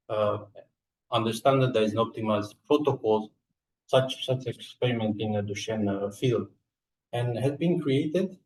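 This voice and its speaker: Halting Romanian-accented man, echoey and distant. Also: medium pitch, slight background noise. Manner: halting, hesitant delivery with frequent filled pauses. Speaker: research scientist and lab coordinator presenting. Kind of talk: scientific slide presentation. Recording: echoey room, distant microphone.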